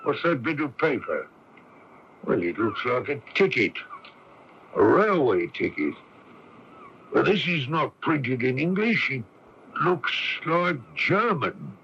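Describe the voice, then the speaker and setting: Gravelly, deliberate police constable. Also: male voice, medium pitch, very animated, heavily acted. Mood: stern, accusing. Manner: deliberate, formally polite interrogation. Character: stern, dutiful, courteous male police constable. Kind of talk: radio drama acting. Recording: lo-fi radio broadcast tape with hiss.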